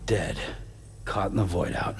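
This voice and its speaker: Low, slow, terse male courier. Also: a little hiss or hum. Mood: grim, reserved. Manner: laconic, flat. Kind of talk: video game cutscene acting.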